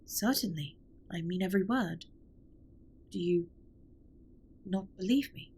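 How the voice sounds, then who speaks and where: A woman playing a formal, caring alien spaceship captain in an intimate audio drama, speaking in a measured, precise way with a breathy, husky voice. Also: fairly low pitch, a little mellow, full character voice. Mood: sincere, formal. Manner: formal, measured, precise wording with few contractions. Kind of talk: scripted roleplay audio drama, intimate comfort monologue.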